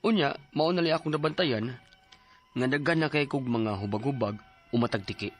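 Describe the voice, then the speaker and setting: A man's voice: mid-range voice, brisk, faint music behind. A man in his forties with a Cebuano accent, telling his own story in a radio drama, plaintive and sighing. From Philippines. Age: 40s. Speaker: narrator and letter writer.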